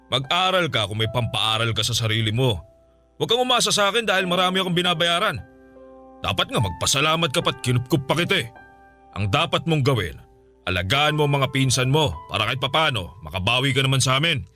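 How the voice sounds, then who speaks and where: A middle-aged uncle in a radio drama, with a bright, forceful voice. He is domineering and scornful, scolding and sneering with a quick temper, over faint music. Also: mid-range voice, very animated. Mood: dismissive, scolding. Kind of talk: Filipino radio drama acting.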